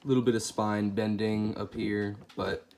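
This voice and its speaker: A stuffy-nosed man with a lowish voice talks through a toy figure review, relaxed and chatty, thinking aloud as he handles it. His voice cracks a little from a cold. A clear, steady background noise runs under him. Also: measured pace. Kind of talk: casual product review.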